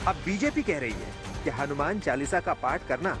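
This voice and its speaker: A man's voice: on the high side, animated, normal speed. A man narrating a scripted television news report as a voice-over. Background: prominent background music.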